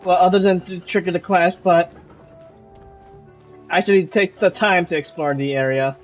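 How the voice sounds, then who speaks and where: A male game streamer with a quite high voice comments casually as he plays. Faint game music sits behind him. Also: fairly even intonation, normal speed. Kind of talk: casual live gameplay commentary.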